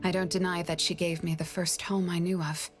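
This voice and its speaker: A woman voicing a composed, guarded young woman in an anime-style game, serious and measured. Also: on the low side, level tone, close to an everyday voice. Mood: regretful, subdued. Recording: faint music behind the voice.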